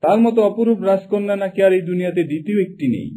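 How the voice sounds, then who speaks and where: A man voicing a cunning, flattering royal minister in a cartoon, sly and obsequious. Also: high-pitched, fast, a little hiss or hum, lightly acted. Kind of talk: Bengali cartoon dubbing.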